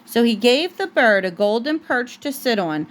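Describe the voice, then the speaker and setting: A woman with a fairly high voice reads a children's storybook aloud at home. She is a mother reading to her young child, and her reading halts as she breaks off for asides and corrections. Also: big pitch swings, moderate pace.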